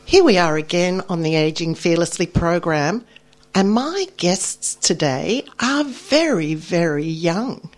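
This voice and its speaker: A woman's voice: on the low side, slow pace. A middle-aged woman, hosting a radio program in a studio and speaking in a bubbly, effusive way. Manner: bubbly and effusive, with lively emphasis. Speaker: radio host.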